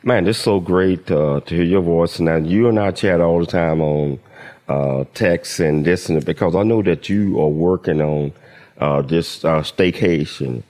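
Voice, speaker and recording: A man's voice: low-pitched, unhurried, a little mellow. A man hosting a radio talk show, enthusiastic and rambling, with frequent restarts.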